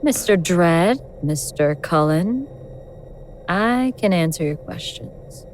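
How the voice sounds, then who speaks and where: A woman playing a calm, menacing female elder god in a horror audio drama, composed, commanding and coolly mocking. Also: fairly low pitch, takes it slowly, soft background music. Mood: civil, mocking.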